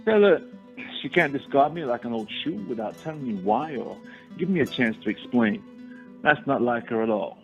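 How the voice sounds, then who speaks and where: Man playing a gravelly, insistent spurned gentleman. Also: medium pitch, expressive, normal speed, natural voice. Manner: insistent, formal instructions. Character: earnest, commanding young gentleman, spurned lover. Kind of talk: radio play acting. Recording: tinny narrow-band audio, faint music.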